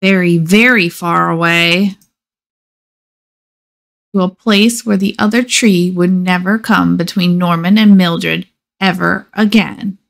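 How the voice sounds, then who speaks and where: Slow-paced woman reading a picture book. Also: fairly low pitch, steady tone, gravelly. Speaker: storybook reader. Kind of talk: expressive children's picture book read-aloud.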